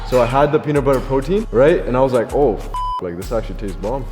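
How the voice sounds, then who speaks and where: A man's voice: mid-range voice, animated, fast, darkish tone, big-room sound, faint music behind. A man in his thirties hosting a gym vlog, rambling casually and explaining his training plan. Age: 30s. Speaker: vlog host. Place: gym, indoors.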